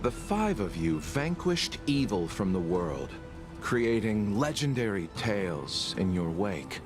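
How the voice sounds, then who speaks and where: Deep voiced guy streamer reading game story out loud, dramatic, slow, game music behind. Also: big pitch swings. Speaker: livestreaming gamer reading story text aloud. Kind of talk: dramatic read-aloud narration.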